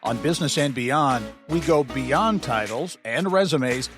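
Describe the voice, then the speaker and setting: A man's voice: medium pitch, lively intonation, unhurried, music in the background. An American man reading a scripted radio promo as an announcer.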